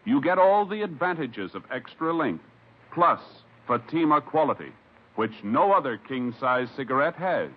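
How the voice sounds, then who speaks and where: A man's voice: medium pitch, big pitch swings, normal speed. A man reading a 1950s radio commercial as an announcer, in an emphatic, salesmanlike manner. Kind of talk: 1950s radio cigarette ad read. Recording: dull vintage radio recording.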